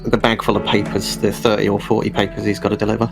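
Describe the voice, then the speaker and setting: A man's voice: on the low side, monotone, quick pace, gravelly. A man playing a tabletop game, casually describing what his character does. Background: background music.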